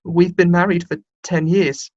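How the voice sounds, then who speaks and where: A woman's voice: fairly low pitch, little pitch movement, a little slow, a little mellow. A woman playing in an amateur radio comedy sketch, reading advice-column letters aloud earnestly and plainly. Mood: earnest, plaintive. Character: reluctant sound technician pressed into reading agony-aunt letters. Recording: dull, band-limited recording.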